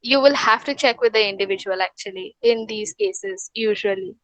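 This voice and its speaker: A woman from India, a wellness counsellor, calmly giving advice in a webinar, with many fillers and restarts. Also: medium pitch, normal speed, no echo, poor audio. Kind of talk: webinar Q&A answers.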